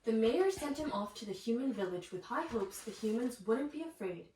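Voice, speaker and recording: A woman with a high voice reads a bedtime storybook to children, breaking off for small asides to them. She is a little way from the mic in an echoey room, with a faint noise behind her. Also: animated, brisk, dark tone, distant mic. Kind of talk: animated storybook read-aloud with asides to children.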